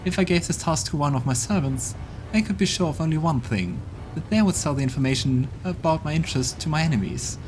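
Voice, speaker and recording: A man's voice: on the high side, lively intonation, a little fast, background noise, pitched above the performer's own voice, close to an everyday voice. A man voicing a haughty female demon queen. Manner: haughty, contemptuous, scoffing. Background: game music.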